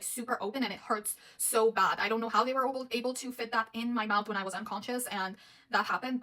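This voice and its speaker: A woman's voice: on the high side, sharp tone, rough recording. A young woman who works as a dental hygienist, from Afghanistan, telling a personal story in a casual, rambling way with many fillers and restarts.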